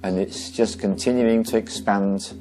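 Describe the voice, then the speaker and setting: A man leading a guided meditation slowly and gently, pausing between short phrases. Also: fairly low pitch, steady tone, faint music behind, rough recording.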